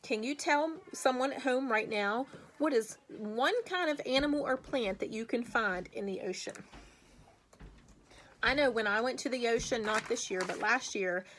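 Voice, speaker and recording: A woman teacher reading aloud to young children with chatty asides, speaking deliberately and putting questions to her listeners in an encouraging way. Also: on the high side, a bit bright, a little hiss or hum. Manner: slow, deliberate, emphatic when reading aloud.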